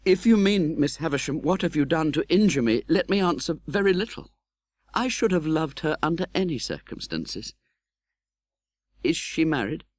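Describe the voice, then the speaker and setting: A British man playing an earnest, tender-hearted gentleman who recounts his own life in a dramatic, measured audiobook narration. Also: a little high, lively intonation, dry recording. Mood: earnest, grave. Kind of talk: dramatic literary audiobook narration in first person.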